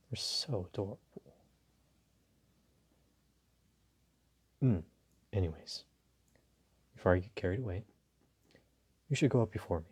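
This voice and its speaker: A man playing a suave, dominant, flirtatious mafia boss in an intimate audio roleplay, courteous and teasing, with a low husky voice. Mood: seductive, amused. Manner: slow, teasing, courteous, softly chuckling.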